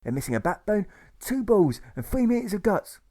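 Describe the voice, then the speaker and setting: A man's voice: fairly high pitch, fast talker, warm tone, no room sound, clean studio sound, voice pushed up, lightly acted. Man acting a plucky, blunt wounded soldier. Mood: contemptuous.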